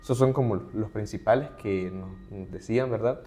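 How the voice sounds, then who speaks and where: A man's voice: fairly low pitch, moderate pace, warm tone, faint music behind. A middle-aged man, a human rights advocate, earnestly explaining points as a guest in an interview. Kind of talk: conversational interview.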